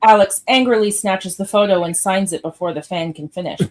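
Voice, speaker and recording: A woman's voice: mid-range voice, a little fast. Woman reading out script stage directions as narrator, mid-range voice.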